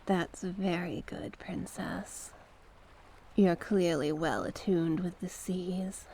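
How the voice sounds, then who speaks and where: A woman voicing a mysterious tentacled sea witch in an ASMR roleplay, a gentle, coaxing mentor who speaks in formal storybook phrases and sometimes slips toward a whisper. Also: lowish voice, a little hiss or hum. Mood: soothing, pleased. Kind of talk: ASMR roleplay, slow scripted monologue.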